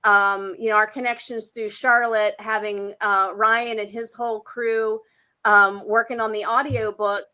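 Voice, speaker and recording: A woman's voice: medium pitch, flat delivery, measured pace, sharp tone. A woman talking casually in a group discussion.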